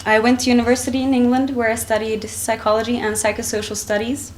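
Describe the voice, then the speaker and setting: A young woman from Finland reading a prepared talk into a lectern microphone in a conference hall, measured and with frequent ums and restarts. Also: on the high side, little pitch movement, a little hiss or hum. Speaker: conference speaker. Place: wood-panelled conference hall, indoors.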